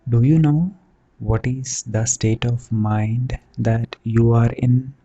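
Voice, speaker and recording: A man leads a guided meditation as a teacher, speaking soothingly and deliberately in short phrases with long pauses. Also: medium pitch, fairly even intonation, warm tone, highs cut off. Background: background music.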